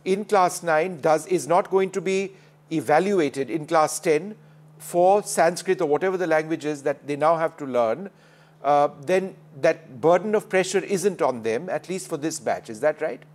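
Brisk male debate moderator. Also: a little high. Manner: interjecting briskly to steer speakers. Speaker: debate show host. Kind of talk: moderating a studio panel debate.

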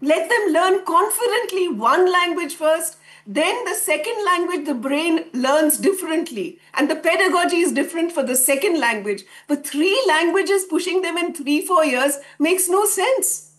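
Forceful woman professor. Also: very high-pitched, expressive, moderate pace. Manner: forceful, rhetorical questions. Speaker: professor, panel guest. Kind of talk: impassioned debate argument.

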